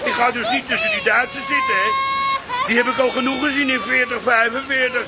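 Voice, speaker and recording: A man's voice: very high voice, steady tone, fairly quick, a loud crowd, very noisy. An actor playing a grumbling, opinionated old father in a Dutch radio comedy drama. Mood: indignant. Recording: loud outdoor beach ambience.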